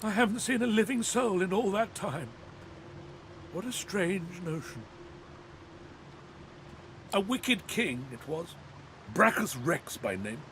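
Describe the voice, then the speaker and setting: A man's voice: sits high, fairly bright tone, slight background noise. A man playing a thousand-year-old skeleton knight in a fantasy game, anguished and noble, with theatrical, archaic speech. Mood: astonished, urgent, then mournful. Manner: theatrical, archaic, grandiose phrasing. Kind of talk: dramatic fantasy game voice acting.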